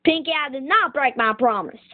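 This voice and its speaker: A woman's voice: high voice, lively intonation, fairly quick. A woman voicing a proud, plain-spoken young cowgirl pony in a cartoon fandub, with a folksy, earnest manner. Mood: apologetic. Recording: tinny screen playback filmed by camera.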